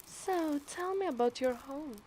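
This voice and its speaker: Woman acting a slow, high-voiced obsessive Italian-accented mermaid. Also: expressive, darkish tone, slight background noise, rough recording. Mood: playful, curious. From Italy. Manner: slow, teasing, breathy half-whispers. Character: obsessive, possessive, seductive yandere mermaid. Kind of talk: ASMR roleplay voice acting.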